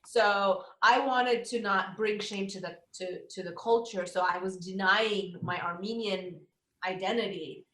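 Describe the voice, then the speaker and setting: A woman's voice: mid-range voice, a little slow, fairly bright tone. A woman talking casually as a guest, laughing easily and restarting her sentences. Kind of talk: casual conversation. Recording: roomy echo.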